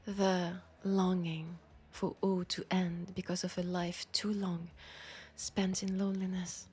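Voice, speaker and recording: A woman with a French accent voicing a centuries-old, wry and world-weary female vampire in an intimate audio roleplay, softly and breathily. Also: lowish voice, level tone, slow, full character voice. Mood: grave, melancholic. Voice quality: breathy, soft, slipping into whispers.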